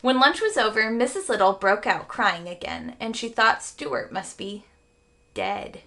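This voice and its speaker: Animated, higher-pitched woman reading a storybook. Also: measured pace. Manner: expressive, explains phrases to young listeners. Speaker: teacher reading aloud to students. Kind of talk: animated children's storybook read-aloud.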